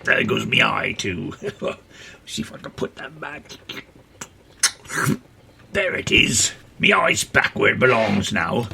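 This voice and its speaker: A man putting on the voice of a grizzled male pirate with a fake eye, with a gravelly pirate growl. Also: lowish voice, big pitch swings, slow pace, long pauses, bright, forceful tone, a little hiss or hum, pitched below the performer's own voice, full character voice. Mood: gruff. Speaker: radio show co-host.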